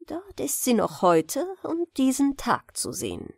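A woman narrates a bedtime fairy tale in an expressive storyteller's manner. Her voice sits fairly high and moves with lively melody. She takes her time, and the sound is close and dry. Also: measured pace, gravelly, slightly rough, no echo. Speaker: audiobook narrator.

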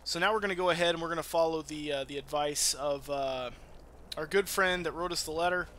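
A man's voice: on the high side, a little fast, fairly bright tone, a little hiss or hum. A man hosting a gaming channel, giving casual, rambling let's-play commentary with informal, sweary talk. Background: game music.

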